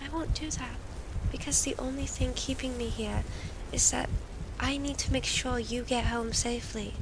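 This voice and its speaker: Woman as an earnest, high-voiced devoted boy. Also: steady tone, moderate pace, natural voice. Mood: gentle, resolute. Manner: earnest, imploring, intimate. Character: earnest, devoted, wounded boy confiding in a companion. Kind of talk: audio drama acting. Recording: faint background noise and ambience.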